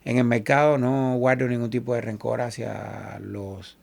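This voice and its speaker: A man from Cuba with a Cuban Spanish accent, a salsa singer talking as an interview guest and telling animated stories, often quoting other people. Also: mid-range voice, unhurried, gravelly. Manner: animated, quotes others in lively asides. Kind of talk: casual interview storytelling.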